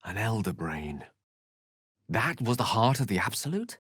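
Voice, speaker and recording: A man's voice: on the low side, big pitch swings, unhurried, fairly bright tone, slightly rough, no room sound, clean studio sound, full character voice. A man playing an erudite, witty wizard in a fantasy video game, speaking with eloquent, wry and courteous theatricality. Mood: grave, measured.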